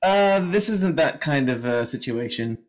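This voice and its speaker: A man's voice: medium pitch, expressive, measured pace. A man organizing a community game night, explaining the rules over voice chat in an easygoing way. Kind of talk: casual voice chat.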